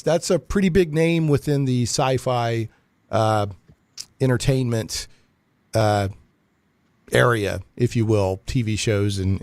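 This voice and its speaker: A man's voice: medium pitch, a little mellow, no room sound. A man hosting a casual geek talk radio show in a rambling, conversational way.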